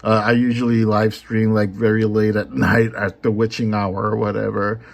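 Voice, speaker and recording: A man talking casually in a vlog about his comics and live streams, unhurried, with many ums. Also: fairly low pitch, flat delivery, gravelly. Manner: unhurried, lots of ums and filler. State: a little hoarse. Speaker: comic artist and YouTuber.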